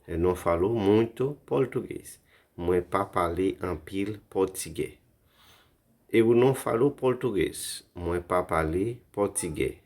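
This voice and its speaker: Man, low voice, language teacher, repeats each phrase slowly. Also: darkish tone. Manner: slow, repeats phrases carefully for practice. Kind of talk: phrase lesson for learners.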